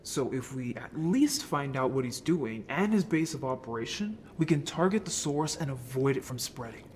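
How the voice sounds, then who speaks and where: A man's voice: mid-range voice, animated, moderate pace, a little hiss or hum, natural voice. A man playing an earnest, sensitive merman in an audio drama, hesitant and emotional in delivery. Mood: earnest, concerned. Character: earnest, sensitive merman haunted by childhood friendship.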